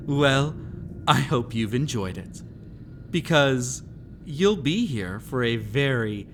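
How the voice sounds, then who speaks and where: A man's voice: mid-range voice, expressive, very slow, long pauses, a little hiss or hum. A man playing a sinister, centuries-old master of a haunted estate in a dramatic audio roleplay, teasing and taunting theatrically. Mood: playful teasing. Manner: teasing, taunting, theatrical, with frequent sly chuckles.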